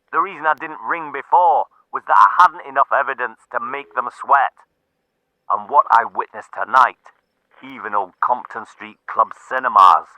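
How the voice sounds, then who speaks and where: A man's voice: mid-range voice, bright, forceful tone, no room sound, muffled recording, pitched above the performer's own voice. A man voicing a defiant, exasperated police inspector, insistent and sardonic, in an audiobook.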